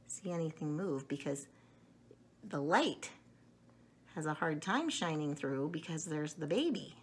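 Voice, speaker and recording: A middle-aged woman chatting to young children in an animated, simple way in a room at home. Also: on the low side, gravelly, a little hiss or hum. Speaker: storyteller showing children books and eggs. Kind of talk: casual chat to children.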